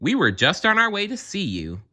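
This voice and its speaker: A man's voice: sits high, huge pitch swings, moderate pace, sharp tone, voice pushed up, heavily acted. A man voicing an anxious, courteous male rabbit from a storybook, prone to worried exclamations. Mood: polite, flustered.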